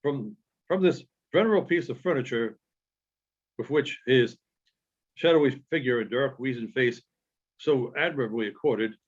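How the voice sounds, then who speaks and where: A man reading literary narration aloud as an audiobook reader, in a measured storytelling manner with halting phrasing. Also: mid-range voice, dull audio.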